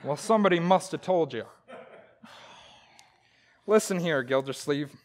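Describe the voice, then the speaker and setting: An actor playing a blustering, boastful, bumbling husband in old-time radio comedy, with folksy, grumbling exclamations. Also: male voice, on the high side, animated, unhurried. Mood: scornful challenge. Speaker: actor in community theater radio play.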